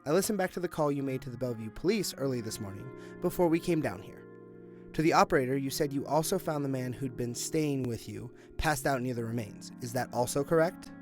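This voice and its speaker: A man's voice: mid-range voice, fairly quick, faint music behind, lightly acted. A man acting a confident, cynical police detective with a sardonic, matter-of-fact manner in an audio drama. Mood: businesslike.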